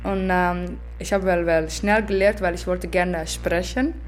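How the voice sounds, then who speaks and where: Woman interviewee, second-language speaker, lower voice, searching for words. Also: steady tone, unhurried, faint music behind. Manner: hesitant with fillers, non-native grammar. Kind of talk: reflective interview answer.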